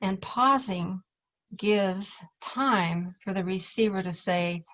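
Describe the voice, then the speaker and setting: A woman's voice: mid-range voice, slow, fairly bright tone. A woman presenting class material as an instructor, explaining it step by step in an informal way. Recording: dull, lo-fi audio.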